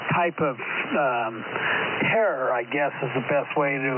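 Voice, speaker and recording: Casual male radio operator, pitch a bit high, narrow band, clear static. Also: unhurried. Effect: two-way radio. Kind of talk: casual chat over ham radio. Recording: tinny narrowband radio with steady hiss.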